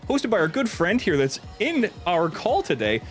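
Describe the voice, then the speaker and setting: A man's voice: high voice, wildly swooping pitch, moderate pace, faint music behind. A man hosting a livestream, chatting casually and jokingly, with a tendency to laugh. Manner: jokey, breaks into laughter often. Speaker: livestream host. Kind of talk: casual chat over gameplay stream.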